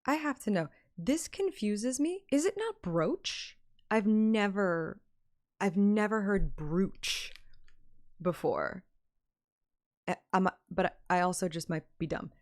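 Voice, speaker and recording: A woman's voice: mid-range voice, animated, slow, darkish tone. A woman streamer narrating a game story in an audiobook style, with casual asides. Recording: reverberant, music under the voice.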